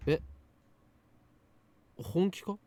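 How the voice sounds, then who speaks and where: A man's voice: drawn-out words, mellow. Anime game role: pompous, theatrical young male scientist, faint noise behind. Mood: surprised, questioning. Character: grandiose self-styled mad scientist, young man. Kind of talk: Japanese anime game voice acting.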